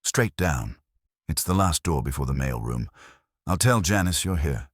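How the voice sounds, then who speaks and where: Prim corporate woman receptionist, played by a man: reserved, clipped, husky, varied intonation. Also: extremely low pitch, animated, moderate pace, no echo, studio quality, heavily acted. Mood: guarded. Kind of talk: audiobook character voice.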